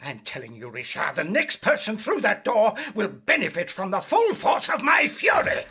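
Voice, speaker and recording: An actor playing a pompous, irascible opera manager in an audio drama, with biting sarcasm. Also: male voice, high-pitched, wildly swooping pitch, moderate pace, creaky, noisy background, exaggerated voice. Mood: furious. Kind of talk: full-cast audio comedy-drama acting.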